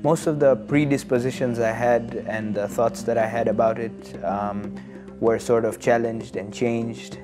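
A man in his early twenties from Tanzania, speaking as an interview guest in a measured, hesitant and earnest way. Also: medium pitch, fairly even intonation. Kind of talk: studio interview, personal reflection. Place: studio. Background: plucked guitar music.

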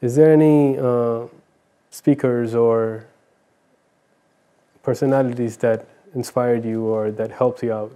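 A man's voice: mid-range voice, steady tone, slow pace, long pauses, warm tone, smooth. A man hosting a casual studio interview, asking questions in conversational language. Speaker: interviewer, host. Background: plucked guitar music.

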